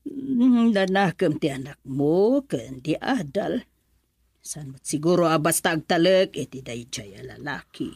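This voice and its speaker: A woman voicing a caring older aunt in an Ilocano radio drama, with an Ilocano Filipino accent and expressive interjections. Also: deep voice, unhurried, creaky, slightly rough, no echo, heavily acted. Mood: earnest, advising. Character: caring older aunt, advising her relatives.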